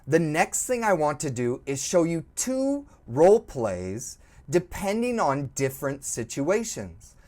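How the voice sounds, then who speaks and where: Slow, lively-voiced woman teaching English. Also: deep voice. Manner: encouraging, repeats and prompts the learner. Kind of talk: slow, clearly spoken language lesson.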